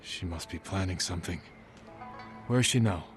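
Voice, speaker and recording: Low-voiced, resolute samurai played by an actor. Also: male voice, soft background music, natural voice. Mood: grave, determined. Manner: measured, firm, grave. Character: stoic, resolute samurai lord, male warrior in a game. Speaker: samurai protagonist. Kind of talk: dramatic video game acting.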